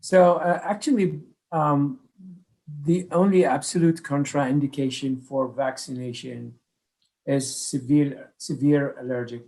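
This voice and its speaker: Man explaining haltingly, mid pitch. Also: steady tone, gravelly, a little mellow, low-quality recording. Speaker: medical expert on a panel. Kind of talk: answering an audience question in a webinar.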